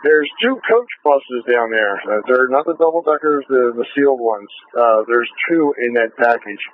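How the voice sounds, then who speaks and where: A man's voice: medium pitch, expressive, normal speed, phone-quality sound, slight background noise. A man working as a police officer, talking informally over a police scanner radio.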